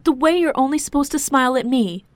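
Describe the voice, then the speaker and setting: A woman's voice: medium pitch, a little fast, darkish tone, husky, no room sound. Woman acting an emotional, jealous young wife, pleading. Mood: angry, tearful shouting. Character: upset, jealous young wife and queen, tearful and defensive.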